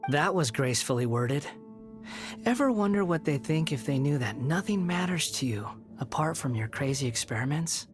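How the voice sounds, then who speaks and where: A bitter young male puppet for a video game. The voice sits low and speaks in solemn, terse phrases. Faint music plays behind. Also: animated, normal speed. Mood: sardonic. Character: brooding youthful male puppet, bitter and proud.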